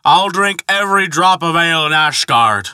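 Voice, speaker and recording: Guy doing a drunk belligerent giant from a storybook, slurry bellowing, boasting, bright dry sound. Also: fairly high pitch, expressive, normal speed, clear, resonant tone, no echo, voice pushed up. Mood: drunken demanding. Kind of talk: dramatic storybook narration dialogue.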